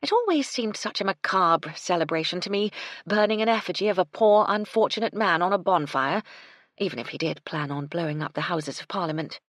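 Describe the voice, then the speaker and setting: A woman's voice: on the low side, animated, brisk, no room sound, clean studio sound. A woman voicing a prim, courteous hotel owner. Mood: polite. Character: composed, polite female hotel owner. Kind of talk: audiobook character voice.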